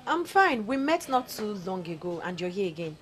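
A man doing a soft-spoken Nigerian young woman. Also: sits high, very animated, normal speed, pitched above the performer's own voice. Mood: polite. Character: gentle young village woman, polite and puzzled.

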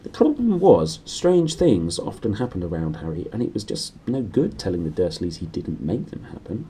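A man's voice: on the low side, sing-song, normal speed, warm tone, slightly rough. A man in his thirties with a British accent, reading a storybook aloud in a small room. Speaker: reader.

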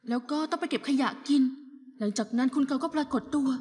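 A woman's voice: high-pitched, quick pace, warm tone, close to an everyday voice. An actress playing a young woman recounting events in a dubbed TV melodrama. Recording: long reverb with music behind.

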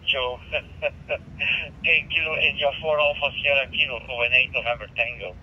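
A man's voice: medium pitch, fairly even intonation, takes it slowly, long pauses, slight background noise. Flemish man over a ham radio. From Belgium. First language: Dutch. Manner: chatty, laughs between phrases. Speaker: radio operator. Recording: dull radio transmission.

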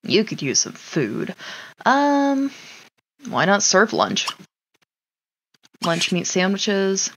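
A woman's voice: medium pitch, huge pitch swings, very slow, long pauses, a little hiss or hum. A woman casually commenting on her life-simulation gameplay, chatting to her sims and laughing often.

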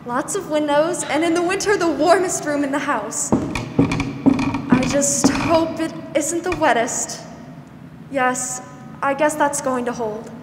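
A young woman acting a gentle, weary, protective older aunt in a 1940s-style radio drama, soothing and hesitant. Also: high-pitched, slow pace, loud music behind the voice. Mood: kindly, patient. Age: young adult. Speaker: student actor. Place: school theatre stage. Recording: echoey hall, noisy background.

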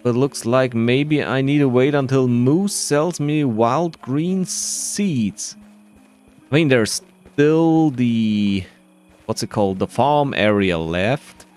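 A man's voice: medium pitch, slow, a little mellow, faint music behind. A man giving casual commentary on his own video game playthrough, muttering to himself as he plays. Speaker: gamer narrating a playthrough.

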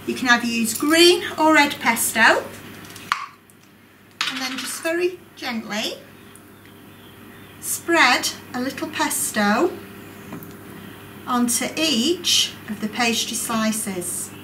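A woman in her forties calmly demonstrating a recipe step by step as a cooking instructor, recorded from a distant camera in a large college kitchen classroom. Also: high-pitched. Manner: calm, clear, instructive. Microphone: distant camera mic. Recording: echoey room, voice from afar. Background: kitchen clatter and room noise.

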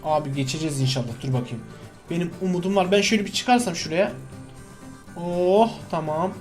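A man's voice: fairly high pitch, fairly quick, soft background music. A man giving casual, slangy co-op gameplay commentary to a friend, full of exclamations and repeated words. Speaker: gaming video host.

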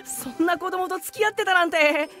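A woman playing a flustered, earnest, good-natured teenage boy in an anime audio drama, with stammering, excitable delivery. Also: extremely high pitch, fairly quick. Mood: shocked. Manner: stammering, excitable, reacts in outbursts. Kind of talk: Japanese anime drama CD acting. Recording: faint music behind the voice. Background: faint background music.

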